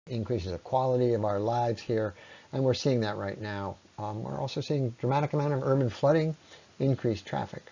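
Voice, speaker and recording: A man with a mid-pitched voice delivers a persuasive public talk on a slightly muffled, lo-fi recording. He sounds earnest and deliberate, leaning on key phrases for emphasis as a presenter making a case. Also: darkish tone. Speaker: speaker presenting against a road project.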